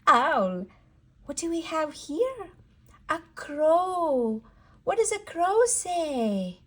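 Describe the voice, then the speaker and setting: A woman's voice: high voice, very animated, very slow, a bit bright. A woman leading a read-aloud word lesson for toddlers, sing-song and encouraging, repeating words for a small child. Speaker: children's educator and host.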